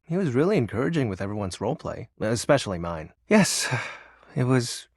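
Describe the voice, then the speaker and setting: Awkward nerdy young male shadow, animated. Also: mid-range voice, normal speed, husky, dry recording, clean studio sound. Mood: wistful. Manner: awkward, hesitant, sheepish. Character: shy nerdy living shadow in human guise, earnest and wistful. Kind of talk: video game character dialogue.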